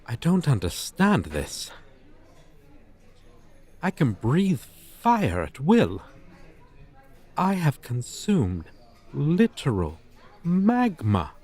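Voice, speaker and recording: A man's voice: a little high, darkish tone, exaggerated voice. A man voicing a proud, centuries-old dragon teacher with stilted, archaic, formal diction in an ASMR roleplay. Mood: bewildered, indignant.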